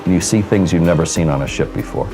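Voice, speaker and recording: A middle-aged American man gives interview soundbites as a hotel director in a promotional film. His voice is notably deep, with music clearly audible behind him. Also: quick pace. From Seattle, United States. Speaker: cruise ship hotel director. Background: background music.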